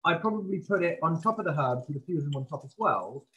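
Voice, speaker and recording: A man's voice: on the high side, expressive, fast talker, a bit bright, dull audio. A man, a chef, explains his cooking informally as he demonstrates it live over a video call from a kitchen. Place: professional kitchen, indoors. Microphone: video call, standing back from camera.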